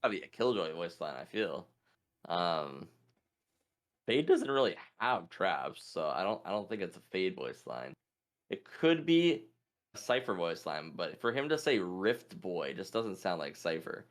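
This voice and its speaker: A man's voice: sits low, sing-song, measured pace. A man taking part in a quiz, thinking aloud in casual, informal chat as he weighs his answers. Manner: deliberating, informal. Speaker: quiz contestant.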